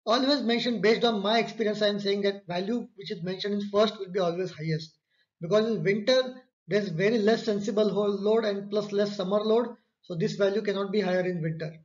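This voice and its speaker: A man's voice: high-pitched, brisk. A man explains slides in an online course. He talks through each point and repeats key phrases for emphasis. The recording is slightly dull and lo-fi. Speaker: instructor. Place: indoor room, webcam overlay on slides.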